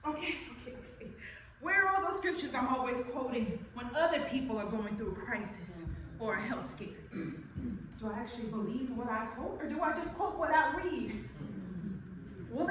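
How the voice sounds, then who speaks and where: High voice, actress as a frightened faithful woman, self-questioning, very echoey distant noisy recording. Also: close to an everyday voice. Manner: talks to herself, questioning and praying. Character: anxious devout woman with breast cancer, self-questioning. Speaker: woman awaiting a cancer consult. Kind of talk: inner-monologue acting.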